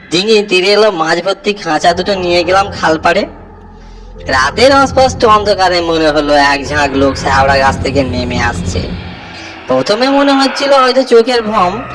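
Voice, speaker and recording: A man's voice: fairly high pitch, a bit bright. A man playing a frightened village fisherman who recounts a ghostly night in a suspenseful horror audio story. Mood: recounting.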